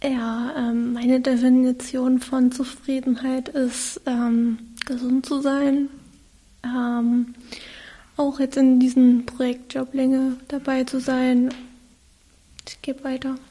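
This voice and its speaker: A woman's voice: sits high, level tone, warm tone, breathy edge. A twenty-one-year-old woman in a casual group chat, speaking hesitantly with many fillers. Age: early 20s. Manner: slow, hesitant, many "ähm". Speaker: podcast participant. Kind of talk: casual round-table chat.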